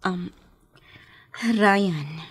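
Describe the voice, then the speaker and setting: A woman's voice: medium pitch, drawn-out words. A woman with an Ilocano accent acting a coy young woman being courted in a radio drama. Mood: apologetic, soft.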